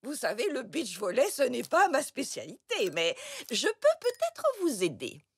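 A kindly old zebra grandmother from a children's cartoon. She speaks slowly and gently, with a creak in her high voice, coaching and encouraging. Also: wildly swooping pitch, dry recording, clean studio sound, full character voice. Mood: helpful. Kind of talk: children's animated cartoon acting.

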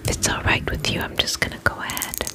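A young woman playing a gentle vampire spa attendant in a soothing, breathy ASMR whisper, slow and polite, close to the microphone. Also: close to an everyday voice. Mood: soothing, attentive. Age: young adult.

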